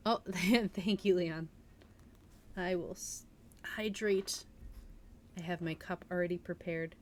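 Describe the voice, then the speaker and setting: A woman with a slightly low voice hosts a cozy story stream, moving between casual chat and reading aloud. Her manner is informal, chatty and giggly. Some noise sits behind her voice on the home streaming mic. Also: fairly even intonation, slow pace, darkish tone. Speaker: livestream host reading a novel aloud. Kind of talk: casual livestream chat and audiobook-style reading.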